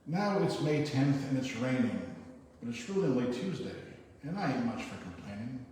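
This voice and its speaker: Guy reading poems live, casual n halting, big echo, pretty noisy recording. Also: medium pitch, big pitch swings, darkish tone. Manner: informal, halting, self-deprecating between poems. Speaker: reader at a poetry event. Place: echoey hall at a live event. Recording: noisy, echoey hall, distant mic.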